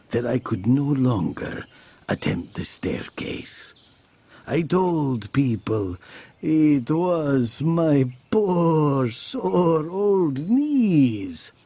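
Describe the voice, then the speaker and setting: A man's voice: medium pitch, gravelly, dark tone, slightly rough, no room sound, heavily acted. An actor playing an elderly, wealthy Italian man who tells his tale in a formal, theatrical manner. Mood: confiding. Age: older. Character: elderly Italian man, wealthy former mayor, uneasy storyteller. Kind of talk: dramatic first-person storytelling.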